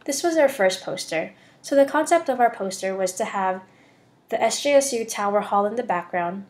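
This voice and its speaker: A woman's voice: medium pitch, animated, normal speed, darkish tone. Student presenter, young American female, mid-range voice, scripted slideshow narration. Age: young adult.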